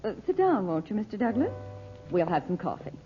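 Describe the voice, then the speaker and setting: Woman acting a genteel mother, lively melodic pitch. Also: brisk, close to an everyday voice. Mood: gracious, polite. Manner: courteous, genteel. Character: gracious, composed mother of two teenagers, slightly hesitant. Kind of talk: old radio drama acting. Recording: dull old radio recording with music.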